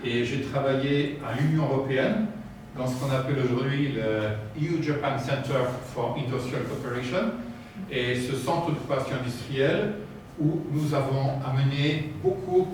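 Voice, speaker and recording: Middle-aged man from France, measured hesitant speaker; distant mic, very roomy, noisy. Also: mid-range voice, a little mellow. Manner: slow, measured, hesitant with "euh" fillers. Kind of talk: formal talk with pauses for interpreting. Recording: echoey room from far off with noise.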